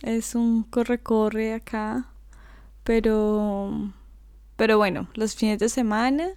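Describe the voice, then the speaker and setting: Young Colombian woman, co-host, unhurried casual chat, laughs easily, dry close sound. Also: on the high side, clean tone, no echo. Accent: Colombian Spanish. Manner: relaxed, laughs often, many fillers. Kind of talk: casual conversation.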